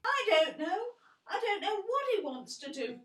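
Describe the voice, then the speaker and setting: A woman's voice: very high voice, big pitch swings, normal speed, echoey room, recorded from a distance, noisy background. A woman playing an anxious, overprotective mother of a teenager, fussing shrilly, in an audio play. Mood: fretting.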